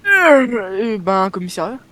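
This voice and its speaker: A man's voice: sits high, very animated, unhurried, sharp tone. A man improvising in a game roleplay, talking in a hesitant, pleading, clownish way. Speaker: gamer playing a stranded police officer.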